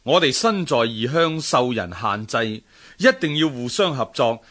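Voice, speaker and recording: A man with a Hong Kong Cantonese accent playing an earnest, expressive seafaring merchant in a historical radio drama. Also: medium pitch, a little slow. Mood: earnest, persuasive. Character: earnest Ming-era seafaring merchant, husband and new father.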